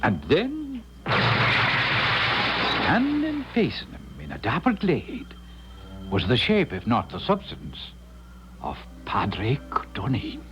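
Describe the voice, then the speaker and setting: An actor playing a desperate, defiant lord and storyteller in a 1970s radio drama, theatrical and emotive. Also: male voice, mid-range voice, wildly swooping pitch, slow pace, pauses often, music in the background. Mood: dramatic storytelling. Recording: muffled old AM radio broadcast.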